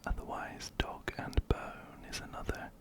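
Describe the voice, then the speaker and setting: Male ASMR gamer. Close-mic breathy whisper throughout, slow and gentle, reading on-screen text and commenting softly. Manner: gentle, unhurried, repeats words softly. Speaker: ASMR game streamer. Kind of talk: whispered ASMR game playthrough and read-aloud. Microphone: close-up binaural microphone, ear to ear.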